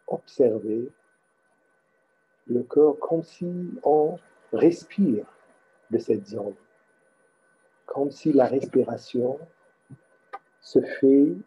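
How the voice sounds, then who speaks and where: Very slow, husky male meditation guide. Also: medium pitch, muffled recording. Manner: halting, pausing between short phrases.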